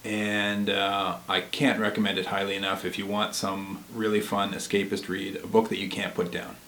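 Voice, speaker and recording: A man's voice: deep voice, flat delivery, normal speed, fairly bright tone, a little hiss or hum. A man in his forties giving casual, enthusiastic book recommendations as co-host of a video blog. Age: 40s. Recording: echoey, roomy sound.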